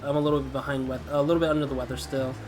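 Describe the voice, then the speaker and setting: A man's voice: medium pitch, level tone, racing. Stuffy-nosed man doing gaming commentary. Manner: chatty, rambling, laughs often. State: slightly stuffy from a cold. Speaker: gaming YouTuber. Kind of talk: let's play commentary. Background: game music.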